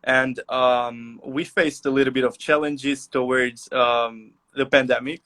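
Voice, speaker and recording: A young man from Albania, answering interview questions over a video call with many "uh" and "um" pauses. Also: mid-range voice, steady tone, bright, forceful tone. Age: young adult. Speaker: guest.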